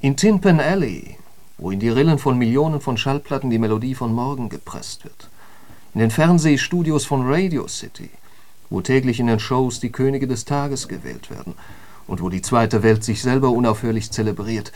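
An actor as an eloquent intellectual holds forth in a literary radio play. His middle-pitched male voice is emphatic and declamatory, with the bite of a polemicist. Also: expressive, moderate pace, darkish tone, natural voice. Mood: fervent. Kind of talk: literary radio drama monologue.

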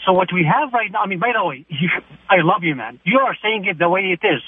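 A man's voice: sits high, animated, fast talker, slightly rough, no echo. A man from Iraq calling in to a radio talk show, earnest and searching as he talks about his situation. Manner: earnest, searching, plain-spoken. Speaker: caller. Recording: phone line.

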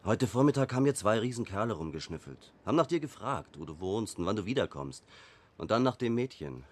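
Lively, low-voiced gossipy man. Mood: needling, confiding. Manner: colloquial, quick, gossipy. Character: chatty, nosy male acquaintance passing on tips. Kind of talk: radio drama acting.

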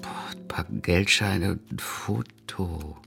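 A man's voice: sits low, slow, vocal fry, over music, heavily acted. An actor playing a weary, uneasy man in a radio crime drama, speaking in short, subdued lines. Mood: uneasy, pondering. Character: weary, uneasy man entangled in a murder plot.

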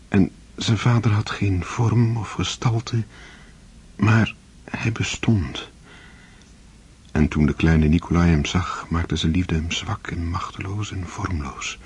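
An actor playing a solemn, measured literary narrator in a radio drama, with a husky voice. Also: male voice, exaggerated voice. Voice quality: deep, breathy.

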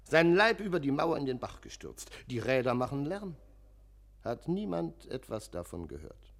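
An actor playing an agitated church sexton in a German radio play, speaking in halting, abruptly emphatic fragments. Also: male voice, medium pitch, sing-song, creaky. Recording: long echoing church-like reverb.

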